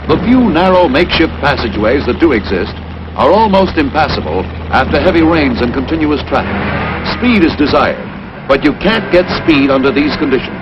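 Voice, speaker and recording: A man delivers 1940s newsreel narration in an emphatic announcer manner, his voice pitched fairly high and swinging widely. The sound is muffled and lo-fi, with a steady hiss from an old film soundtrack. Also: brisk.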